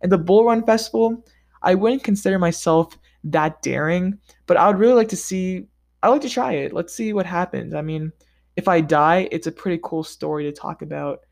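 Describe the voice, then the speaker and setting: A man talking straight to his audience in a relaxed vlog. His delivery is upbeat and chatty, loose and conversational, with casual slang. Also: high voice, moderate pace, creaky. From United States. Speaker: vlogger. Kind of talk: casual scripted monologue ranking countries.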